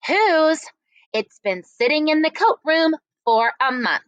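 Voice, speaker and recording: A woman reader puts on a strict older female teacher in a children's story. She is insistent and commanding, stretching her words with animated pitch in a dry, close sound. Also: takes it slowly, no echo, highs cut off, exaggerated voice. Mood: demanding. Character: stern, insistent older female schoolteacher scolding her class.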